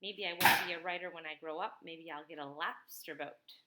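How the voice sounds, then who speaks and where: A woman's voice: sits low, steady tone, moderate pace, heavy background noise, natural voice. A woman voicing a curious, eager young boy in a children's mystery story. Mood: wondering.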